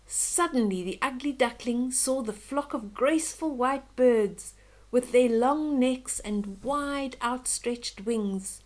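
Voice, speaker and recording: An older woman reading a children's bedtime story aloud in a gentle, expressive, dramatic way. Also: on the high side. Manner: slow, gentle, dramatic, with hushed whispery moments.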